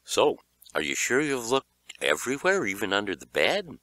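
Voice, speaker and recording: A man's voice: on the low side, huge pitch swings, moderate pace, sharp tone, no echo, full character voice. A man voicing a timid, nagging schoolboy friend in a children's audiobook. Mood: curious.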